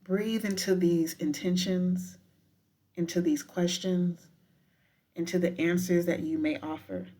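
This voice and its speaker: A woman guiding a meditation in a deliberate, unhurried manner with frequent pauses. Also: on the low side, fairly even intonation, mellow. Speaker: professor guiding a breathing meditation. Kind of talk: guided meditation with spoken poetry.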